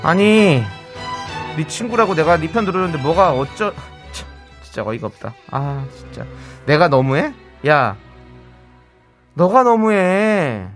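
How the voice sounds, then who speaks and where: A man acts out a gossipy, easily offended woman friend in a comic radio sketch. The voice sits fairly high and swings widely, full of sighs and dramatic scolding, with soft music behind. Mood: offended anger. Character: sympathetic woman friend turned offended and fed up. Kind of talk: comic radio skit of a listener's story.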